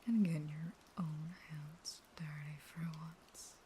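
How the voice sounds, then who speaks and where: A woman playing a caged, starving vampire in an intimate audio roleplay, half-whispering in a slow, taunting, pleading and unstable way. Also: sits low, fairly even intonation, warm tone, a little hiss or hum. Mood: bitter, taunting.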